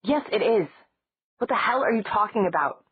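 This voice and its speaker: A woman's voice: lowish voice, normal speed, breathy edge. A woman voicing an exasperated young man. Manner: shouting, exasperated. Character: hot-tempered young man, loyal friend. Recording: dry, tinny phone-like sound.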